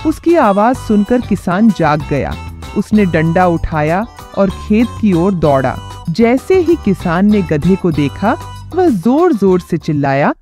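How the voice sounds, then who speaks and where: A narrator telling a children's moral story in a lively storytelling way. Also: medium pitch, takes it slowly, soft background music.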